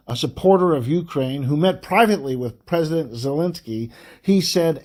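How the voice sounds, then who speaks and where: A man reading a formal political letter aloud in an angry, indignant, emphatic voice, breaking phrases into short, deliberate pieces. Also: on the high side, darkish tone.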